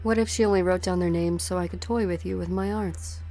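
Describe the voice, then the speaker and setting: A woman's voice: fairly low pitch, fairly even intonation, a little fast, mellow, soft background music, natural voice. A woman voicing a cold, taunting assassin in a dramatic game dub, with a cool, menacing delivery. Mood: sardonic. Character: cold, taunting female enemy assassin hunting her prey.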